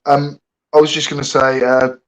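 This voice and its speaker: A man's voice: mid-range voice, little pitch movement, moderate pace, low-quality recording. A man co-hosting a livestream, joking and laughing often in casual chat. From England.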